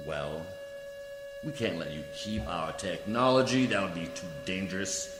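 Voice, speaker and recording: A man's voice: low-pitched, huge pitch swings, a little slow, full character voice. A man acting a coolly formal, condescending alien judicial official in a science fiction radio play, speaking with precise diction. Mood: smooth bargaining. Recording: echoey hall reverb, music behind.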